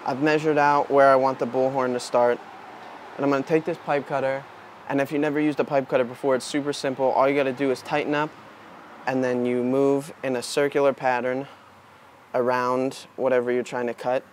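A man's voice: mid-range voice, a little fast. Casual young man, faint outdoor noise behind. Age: 20s. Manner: informal, unscripted, thinking aloud while working with his hands. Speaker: DIY tutorial presenter. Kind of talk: casual how-to demonstration. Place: outdoors on a wooden porch. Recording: outdoor camera audio with steady background noise.

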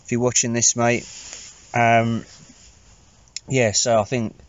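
A man in his thirties with an English accent, chatting casually to camera in a low-key, rambling, informal way as an outdoor vlogger. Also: flat delivery, takes it slowly, smooth, muffled recording. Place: inside a small tarp shelter outdoors at night.

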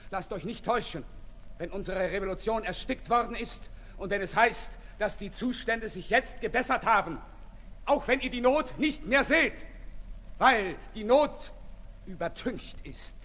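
An actor playing an ailing, embittered revolutionary who exhorts the people with urgent declamation in an old radio play. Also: male voice, sits high, unhurried, fairly bright tone, full character voice. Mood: grim warning. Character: fiery ailing revolutionary orator, fervent and embittered. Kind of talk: 1960s German radio play acting. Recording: 1960s radio recording, reverberant hall, hissy.

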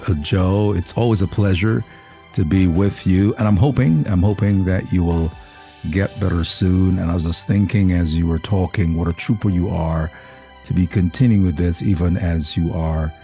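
A man's voice: sits low, fairly even intonation, moderate pace, soft background music. A man, a senior pastor visiting as guest, talking casually and repeating words as he gathers his thoughts. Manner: warm-hearted, repeats words while thinking. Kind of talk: casual podcast conversation.

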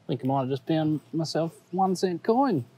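A man in his thirties with an Australian accent, chatting casually to viewers while metal detecting outdoors, excitable and quick to laugh at his finds. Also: on the high side, a little hiss or hum. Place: outdoors on a grassy park lawn. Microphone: action camera on the body or set on the ground.

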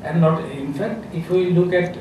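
Hesitant Bangladeshi official at a podium, echoey. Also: male voice, sits high, steady tone, normal speed. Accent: Bangladeshi English. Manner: hesitant, many uh fillers and restarts. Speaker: government health official speaking on a panel. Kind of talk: unscripted panel remarks. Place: conference room with a seated audience. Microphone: podium microphone. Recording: echoey room, voice from a distance, clear background noise.

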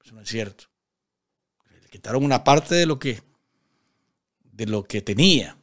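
A man's voice: medium pitch, expressive, normal speed, a bit bright. A man in his forties with a Nicaraguan accent, giving live political commentary as a host, emphatic, repeating phrases and asking rhetorical questions. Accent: Nicaraguan Spanish. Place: small indoor studio at a desk. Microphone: desk studio microphone.

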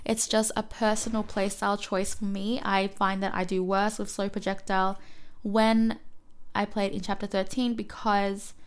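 A woman with a cough-roughened voice narrating a mobile game guide, explaining enemy patterns and often correcting herself. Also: mid-range voice, flat delivery, normal speed. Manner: explanatory, self-correcting, apologetic. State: hoarse from a cough. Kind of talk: gameplay guide voice-over.

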